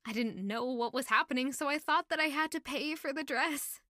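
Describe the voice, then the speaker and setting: A woman's voice: high voice, normal speed, sharp tone, no echo, studio quality. A woman playing an earnest, modest young working woman who can be playfully bold, in an intimate audio drama. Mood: candid, puzzled. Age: young adult.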